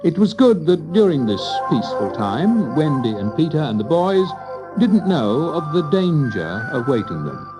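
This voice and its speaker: A man narrating a scripted children's story in an expressive storytelling manner. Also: a little high, normal speed, over music, poor audio.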